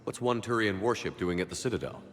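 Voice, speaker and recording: A man's voice: fairly low pitch, fast, fairly bright tone, long reverb tail, close to an everyday voice. An actor playing a steadfast male military commander in a sci-fi game, speaking in a firm, earnest, rallying way. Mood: steady. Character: resolute military commander, steadfast male leader in space war. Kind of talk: video game voice acting.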